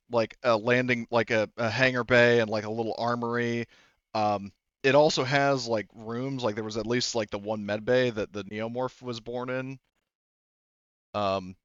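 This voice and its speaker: A man's voice: medium pitch, a bit bright, no echo, dull audio. A man narrating as game master in a casual tabletop session, explaining things in a rambling, hesitant way.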